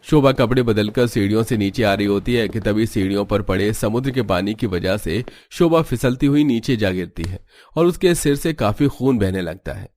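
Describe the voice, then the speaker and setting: A man's voice: fairly low pitch, expressive, normal speed, a little mellow, no echo. A man delivering scripted narration as the storyteller of a cartoon tale.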